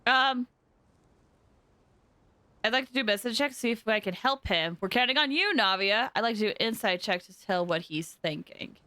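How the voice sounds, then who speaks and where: A woman's voice: on the high side, expressive, normal speed, pushed and bright, no room sound. A woman streaming a game, chatting casually and playfully with her viewers as she plays. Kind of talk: casual livestream game commentary.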